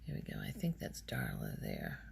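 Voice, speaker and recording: A soft-spoken woman rambling in a halting, unhurried ASMR style, her quiet voice often close to a whisper. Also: huge pitch swings, creaky, a little hiss or hum. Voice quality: soft, low-volume voice, often near whisper. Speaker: ASMR video host.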